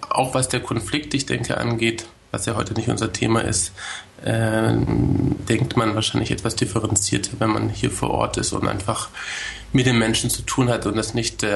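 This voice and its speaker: A man's voice: fairly low pitch, gravelly, slight background noise. A man joining a talk show as a guest over a video call, speaking in a hesitant, thoughtful way with many fillers. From Germany. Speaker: guest, tour guide. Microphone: video call from home.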